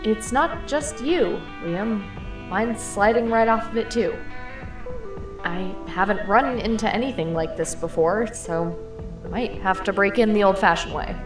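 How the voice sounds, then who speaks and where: A woman's voice: mid-range voice, unhurried, natural voice. A woman playing a cocky, encouraging burglar in an audio drama, with a casual, playful, self-assured delivery. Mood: puzzled. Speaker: voice actor in a fiction podcast. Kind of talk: naturalistic audio drama acting. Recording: roomy echo, music underneath.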